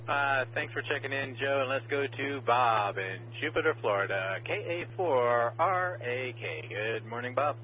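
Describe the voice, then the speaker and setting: A man's voice: medium pitch, measured pace, hardly any pauses. A man acting as net control on a ham radio net, steadily calling in check-ins and adding dry quips. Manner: steady, matter-of-fact call-taking with dry quips. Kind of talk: radio net roll call. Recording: dull, muffled radio audio with faint hiss.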